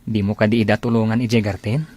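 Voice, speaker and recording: A man's voice: on the low side, level tone, fast talker, darkish tone, lightly acted. A man acting an easygoing, respectful young countryman in a radio-style drama, with an Ilocano accent. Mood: teasing, tender.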